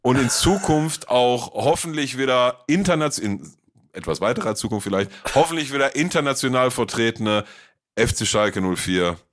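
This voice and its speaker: A man's voice: medium pitch, lively intonation, normal speed. A man co-hosting a football podcast, joking and playing up theatrical toasts. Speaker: podcast co-host. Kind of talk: casual chat.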